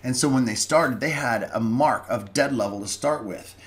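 A man's voice: medium pitch, normal speed, soft background music. A middle-aged man giving a folksy, plainspoken carpentry lesson, with restarts and self-corrections, recorded at a desk mic indoors. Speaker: lumber company presenter. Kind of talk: instructional voice-over.

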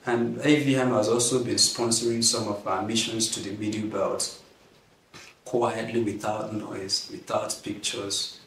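A man's voice: on the low side, little pitch movement, a bit bright. A man in his thirties leading an online governance class, speaking earnestly and stretching words out for emphasis. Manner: earnest, drawn-out emphasis, gracious thanks. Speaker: class instructor. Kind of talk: online class leadership teaching. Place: plain indoor studio backdrop. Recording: echoey, somewhat distant mic, faint noise.

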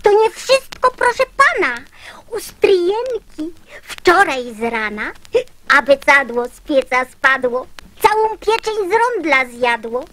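Eager high-voiced little fibber girl. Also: very animated, slow pace, a bit bright, a little hiss or hum, exaggerated voice, poor audio. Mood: eager, insistent. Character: chatty little fibber girl, eagerly spinning tall tales. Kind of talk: theatrical radio recitation of children's verse.

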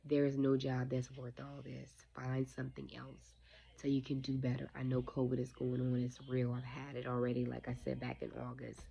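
A woman's voice: a bass voice, monotone, normal speed, vocal fry, warm tone, dull audio. A woman in her forties with a stuffy, sniffly voice, bluntly warning her viewers about a company while talking into a phone held close. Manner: blunt, indignant, addresses viewers directly. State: congested, sniffling. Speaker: vlogger. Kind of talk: casual talk to camera.

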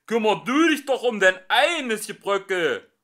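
A man's voice: high-pitched, big pitch swings, a little slow, a bit bright, voice pushed up, exaggerated voice. A man voicing spiteful, quarrelsome old houses in a children's storybook, snippy and mocking. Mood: spiteful bickering.

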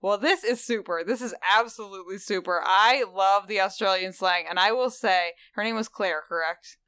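Bright, enthusiastic woman podcast host. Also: mid-range voice, normal speed, clear, resonant tone, no echo, clean studio sound. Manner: enthusiastic, gushing. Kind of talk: casual chat.

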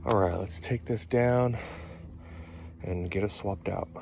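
A technician in his thirties talks through a repair outdoors at an easy, slow pace. His voice sits low, and he fills pauses with "uh" as he works. Tool clatter and outdoor noise sit clearly behind him. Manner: plain-spoken, working while talking, many "uh" fillers. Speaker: HVAC technician. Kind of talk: narrating a repair job on camera. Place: outdoors beside a home's heat pump. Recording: noisy outdoor job site with tool clatter.

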